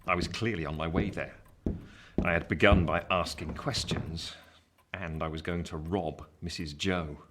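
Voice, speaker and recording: A man plays a wry English storyteller looking back on his boyhood in a radio drama. His voice is low, measured and dramatic, over clear background noise. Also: fairly even intonation, lightly acted. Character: grown man wryly recalling his fearful boyhood. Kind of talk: scripted radio play narration. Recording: noisy home recording.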